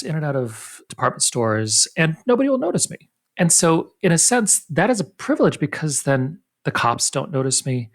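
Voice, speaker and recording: A man hosting an interview podcast, his voice leaping upward when he stresses a point and then dropping back down, quick on his asides. He speaks at a middle pitch. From United States. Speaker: podcast host. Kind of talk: casual interview.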